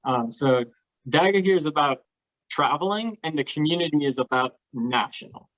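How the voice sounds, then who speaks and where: A man's voice: a little high, expressive, normal speed, fairly bright tone. Enthusiastic man chatting, dull lo-fi sound. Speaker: guest, LARP participant. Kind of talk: casual explanatory chat.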